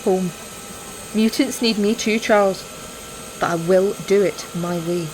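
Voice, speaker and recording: Woman playing a stern woman over rushing noise. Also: medium pitch, close to an everyday voice. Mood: resigned, firm. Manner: blunt, firm. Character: stern, caring adult woman, the professor's exasperated partner. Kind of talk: audio drama acting.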